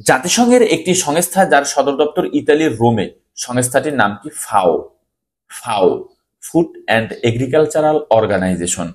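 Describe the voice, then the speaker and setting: A man's voice: mid-range voice, a little mellow. A man with a Bangladeshi accent teaching an exam-preparation lesson, reading questions aloud and instructing students, repeating key phrases for emphasis.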